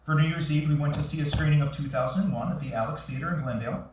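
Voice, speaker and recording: A man's voice: fairly high pitch, rapid-fire, darkish tone, slight background noise, close to an everyday voice. A man on stage playing a frazzled, self-deprecating writer, stammering and awkward, in a staged play reading. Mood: warm narration. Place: theatre stage, recorded from the audience. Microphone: far camera. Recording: very echoey theatre, distant shaky camera.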